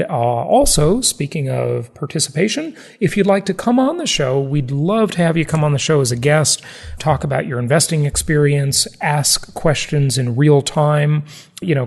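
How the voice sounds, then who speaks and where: An American man hosts a casual podcast in a fairly high voice with a noticeable creak. He talks in a chatty, informal way, dropping in asides as he addresses his listeners. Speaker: podcast host. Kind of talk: casual solo podcast talk.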